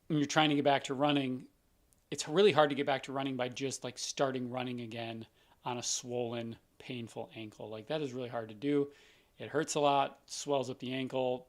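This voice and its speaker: A doctor with a scratchy voice hosting a live question-and-answer stream, explaining things in a loose conversational way with lots of everyday examples. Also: male voice, mid-range voice.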